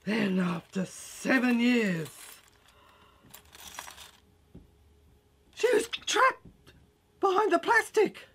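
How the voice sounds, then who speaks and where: A woman's voice: fairly high pitch, wildly swooping pitch, takes it slowly, creaky, a bit bright, full character voice. A woman playing an obsessive, rambling philosopher in an audio drama, stammering and repeating words. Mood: anguished. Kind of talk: dramatic audio play monologue.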